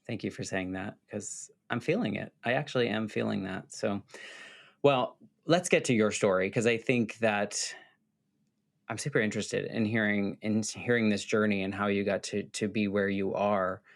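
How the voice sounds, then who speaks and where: Man, podcast host, lower pitch, reflective, searching, thoughtful questions. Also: fairly even intonation, normal speed, creaky. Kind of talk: interview conversation.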